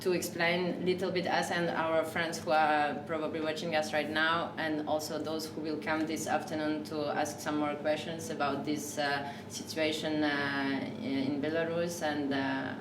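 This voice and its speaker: A woman hosts a live talk in a café, her voice fairly low and caught from across an echoey room. English is not her first language, and she talks haltingly, with plenty of fillers and false starts. A steady hum of noise runs under her. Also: distant mic. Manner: hesitant, many fillers and restarts. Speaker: café host.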